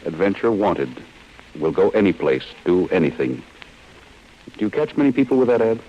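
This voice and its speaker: An actor with an American accent playing a cold, relentless chief insurance investigator in an old-time radio drama, speaking in a stern, deliberate way. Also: male voice, sits low, expressive. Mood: cold, commanding. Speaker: actor in a radio detective serial. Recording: muffled, hissy old radio broadcast.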